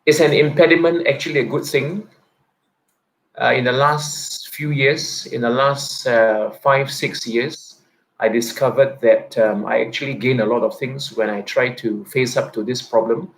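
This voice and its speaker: A man in his thirties, a teacher, giving a personal reflective talk, speaking haltingly with a stutter and frequent repeats and restarts. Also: medium pitch, steady tone, low-quality recording. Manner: soft-spoken and introverted.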